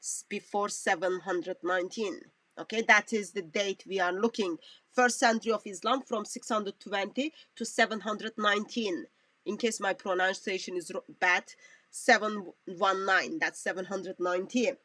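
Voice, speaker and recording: A woman commentator, not a native English speaker, talking through a clip in halting, self-correcting phrases. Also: mid-range voice, steady tone, a bit bright, no echo. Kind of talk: reaction commentary on a played clip.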